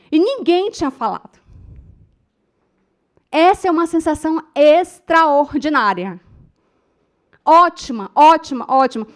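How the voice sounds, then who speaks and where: A Brazilian woman in middle age leads a class on speaking in public. Her voice sits high, and she tells stories with strong feeling, turning often to her listeners. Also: fairly bright tone. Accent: Brazilian Portuguese. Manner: emotive storytelling, addresses the audience as "gente". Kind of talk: lecture to a class.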